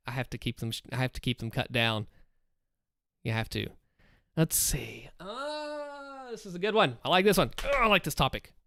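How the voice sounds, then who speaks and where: Chatty Southern man, lively pitch. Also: smooth, no room sound, studio quality. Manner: chatty, encouraging, thinks aloud while reading chat. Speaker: streamer. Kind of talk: casual livestream chat. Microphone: close desk mic with headphones.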